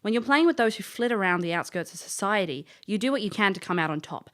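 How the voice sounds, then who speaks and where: A woman's voice: medium pitch, lively intonation, fast, close to an everyday voice. An actress playing a world-weary female elf, a former thief, dry and blunt in an audio drama. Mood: stern, matter-of-fact. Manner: dry, blunt, matter-of-fact.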